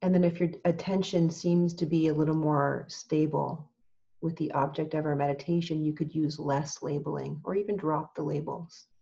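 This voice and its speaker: A woman teaching meditation in a calm, gentle, measured way, leaving long pauses as she gives instructions. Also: sits low, creaky, dull audio.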